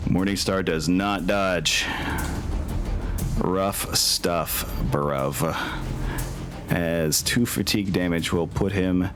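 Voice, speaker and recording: A man's voice: deep voice, very slow, long pauses, over music. A man narrating a tabletop game as game master, informal and profane, often thinking aloud.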